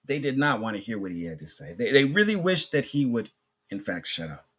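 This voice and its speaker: A man giving an Islamic sermon as a public address, speaking emphatically in short phrases with pauses, repetition and rhetorical questions. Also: mid-range voice, big pitch swings, slightly rough. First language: English. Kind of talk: sermon, public religious lecture.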